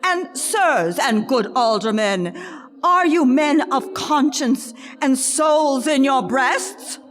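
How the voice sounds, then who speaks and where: Shrewd theatrical swindler female actor, puppet-show boss in a period comedy, deliberate pace, high register. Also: expressive. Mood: wheedling, insistent. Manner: declamatory, deliberate. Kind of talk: theatrical reading of a comic period play. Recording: reverberant hall, faint music.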